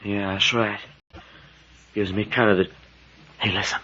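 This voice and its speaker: A muffled, hissy old radio recording of a brash American adventure sidekick. His low voice is forceful and blunt, full of folksy slang. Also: level tone, a little slow. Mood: defiant. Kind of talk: 1940s radio acting.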